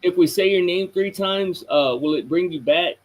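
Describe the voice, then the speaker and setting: A man with a fairly high voice hosts a relaxed livestream chat at a computer mic. He laughs often and pokes fun at himself, stumbling over fillers as he talks. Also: moderate pace, crowd noise. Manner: laughing, self-mocking, full of fillers.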